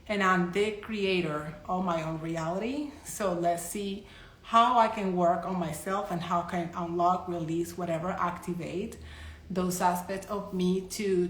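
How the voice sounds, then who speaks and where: Colombian woman in her 30s, Spanish first language, lowish voice, chatty live-stream host with loose restarts, roomy echo. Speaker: psychic medium and energy healer. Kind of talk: casual live-stream talk to followers. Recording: echoey room.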